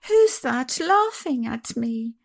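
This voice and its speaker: A woman voicing a chattering little girl princess in a storybook reading, high and breathless. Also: sing-song, slow pace, vocal fry, full character voice. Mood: tearful.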